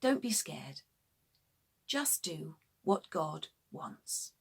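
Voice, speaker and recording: A woman voicing an earnest man's gentle, encouraging letter to a friend in a children's storybook. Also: low voice, sing-song, very slow, slightly rough, voice pushed down, exaggerated voice. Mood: reassuring. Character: Paul, earnest male friend writing a reassuring letter.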